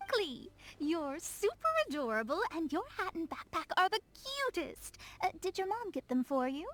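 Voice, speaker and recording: Proud dramatic young game astrologer, gets flustered easy, high swoopy voice. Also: female voice, big pitch swings, moderate pace, a bit bright, soft background music, heavily acted. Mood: awkward, sweet. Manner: grandiose, hesitant when flustered. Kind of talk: video game character acting.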